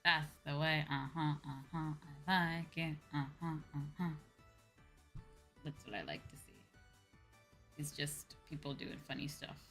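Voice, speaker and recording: A woman with a fairly low, bright and forward voice hosts a casual livestream over light music. She rants informally with slang and swearing, quoting her own reactions as she goes. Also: unhurried, long pauses. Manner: informal ranting, slangy and profane. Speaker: VTuber livestream host.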